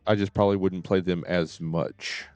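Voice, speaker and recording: A man's voice: sits low, fairly even intonation, moderate pace, a little mellow, clear, resonant tone, no room sound, faint music behind. A man streaming games and chatting casually in a hesitant, rambling way. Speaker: game streamer. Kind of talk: casual livestream chat.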